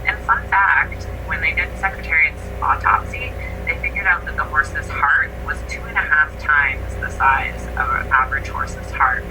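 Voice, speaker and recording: This is a woman guest in a casual interview, an actor talking with eager enthusiasm. She sounds some distance from the microphone, and a clear layer of noise runs behind her. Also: low-pitched, moderate pace, few pauses.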